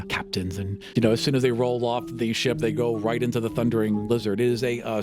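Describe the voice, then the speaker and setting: A man narrating a tabletop fantasy game as game master, in a conversational, vivid descriptive style. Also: medium pitch.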